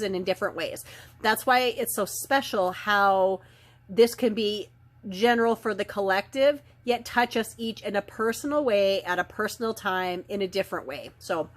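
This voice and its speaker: Hoarse woman with a cold, slightly gravelly; gentle, rambling oracle reader. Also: medium pitch. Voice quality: slightly gravelly, raw throat. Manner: soft spiritual talk with many ums and trailing phrases. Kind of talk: daily oracle card reading, chatty and unhurried. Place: indoor filming setup lit by ring lights.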